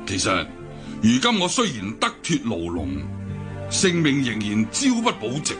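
An actor playing a proud, brooding older deposed martial-arts cult leader in a Hong Kong Cantonese radio drama, declaiming and sighing theatrically. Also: male voice, mid-range voice, wildly swooping pitch, measured pace. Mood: grave, resigned. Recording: music bed behind the voice.

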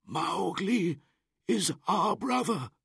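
A man voicing an old male wolf leader who pleads in a high, strained voice. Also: sing-song, drawn-out words, gravelly, a bit bright, breathy edge, no room sound, studio quality, heavily acted. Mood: pleading.

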